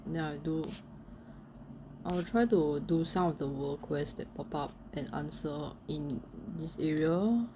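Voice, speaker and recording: A woman's voice: low-pitched, slow. A woman giving casual, unscripted commentary as she plays a video game. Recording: noisy home mic with background ambience.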